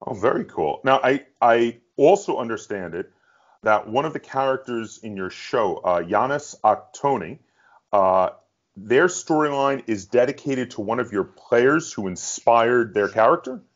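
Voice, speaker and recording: A man's voice: mid-range voice, animated, measured pace, highs cut off. A man hosting an interview, putting questions in a casual conversational style. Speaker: interview host.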